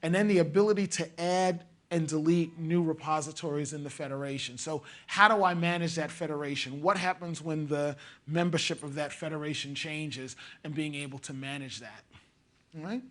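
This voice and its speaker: Male presenter, slightly higher voice, talks through problems by asking questions aloud. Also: fairly even intonation, moderate pace, a bit bright. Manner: explanatory, many "you know" fillers and rhetorical questions. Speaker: conference presenter. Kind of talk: technical conference talk. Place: conference room.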